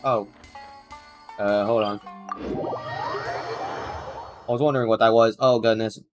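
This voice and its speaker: A man giving casual livestream game commentary, halting and muttering his reactions to himself as he plays. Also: on the low side, fairly even intonation. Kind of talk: casual game commentary, reading dialogue aloud. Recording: game music behind the voice. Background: video game music.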